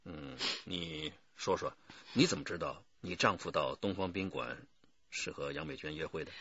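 A calm, middle-aged detective chief in a radio drama. His voice is low and crisp-edged, his questions measured. The recording is slightly dull. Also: no room sound, full character voice. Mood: calm, probing.

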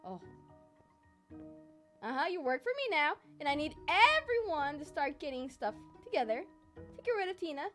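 A woman's voice: high-pitched, big pitch swings, normal speed, long pauses, a bit bright. A young woman playing a bossy, power-hungry girl turning evil in an improvised Minecraft roleplay. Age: young adult. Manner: bossy, demanding. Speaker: gaming video host and player. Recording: music behind the voice.

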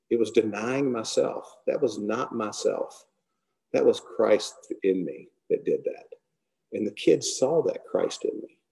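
A middle-aged man giving an earnest devotional talk to a men's group and closing in prayer, often trailing off softly at the ends of lines. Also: lowish voice, gravelly. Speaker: guest speaker. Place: large hall. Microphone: camera close below the face.

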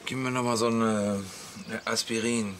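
An actor playing a gruff middle-aged husband and father who grumbles bluntly and sarcastically in a German radio comedy. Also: fairly low pitch, little pitch movement, moderate pace, background noise, close to an everyday voice. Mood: grumpy, sulking.

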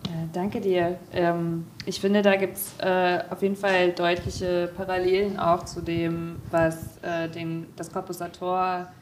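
Woman moderating a panel, lowish flat voice, bit of background noise. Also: a little slow, few pauses. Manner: many fillers. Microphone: handheld mic.

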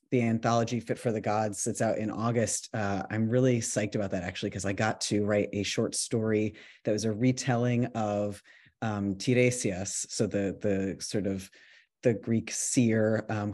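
A man from New York City giving a casual talk about his writing over a video call, relaxed and full of ums and restarts. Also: on the low side. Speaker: author giving a resident talk.

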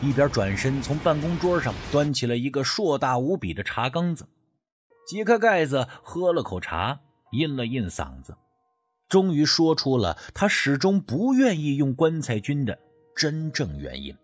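A man narrating a scripted mystery audiobook in the first person, with dramatic, expressive storytelling. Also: medium pitch, moderate pace, faint music behind.

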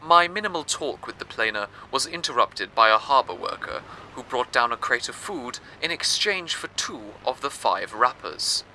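A man's voice: medium pitch, expressive, moderate pace, hardly any pauses, sharp tone, a little hiss or hum, low-quality recording. A man playing a cheerful, theatrical presenter on a fictional old-time radio show, talking to his listeners. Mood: reflective. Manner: theatrical, self-congratulatory. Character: cheerful, grandiose male radio presenter in a rusting city. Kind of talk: 1940s radio presenter acting.

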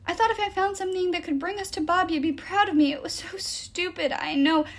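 Woman as impulsive teenage boy, high-pitched, pleading, anxious, remorseful, audiobook character. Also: sing-song, quick pace, darkish tone. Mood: pleading, distraught.

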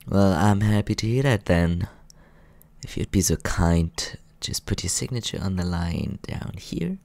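A man playing a courteous, teasing vampire in an ASMR roleplay, soft-spoken, calm and unhurried, close to the microphone. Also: no room sound, heavily acted. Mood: calm, businesslike. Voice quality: low, creaky.

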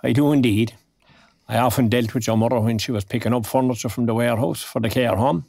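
A male actor playing a friendly, talkative retired man in an audio drama, an older Irish voice, husky and gravelly, with old-fashioned turns of phrase. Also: mid-range voice, quick pace, a little mellow, no room sound, studio quality. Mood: chatty, curious. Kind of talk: naturalistic audio drama acting.

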